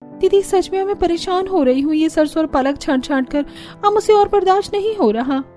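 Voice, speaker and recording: A woman voicing a fed-up, scheming young daughter-in-law in a cartoon story, in a whiny, animated way. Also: extremely high pitch, fairly quick, faint music behind, pitched above the performer's own voice. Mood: fed up, pleading. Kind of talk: Hindi cartoon story character voice.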